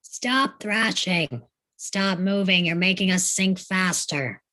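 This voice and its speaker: A woman playing a tough, no-nonsense female soldier who is curt and commanding, in an animated film table read. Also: fairly low pitch, takes it slowly.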